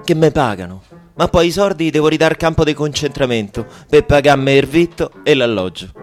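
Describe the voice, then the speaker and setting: An actor playing an anxious, sardonic deported forced labourer with a Roman accent, in a radio drama. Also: male voice, on the high side, lively intonation, a little fast, soft background music, lightly acted. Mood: bitter irony.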